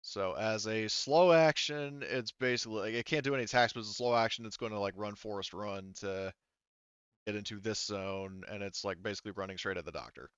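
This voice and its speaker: A man acting as game master of a casual tabletop roleplaying game, speaking haltingly as he thinks through the rules aloud. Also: on the low side, a bit bright, smooth, no room sound, dull audio.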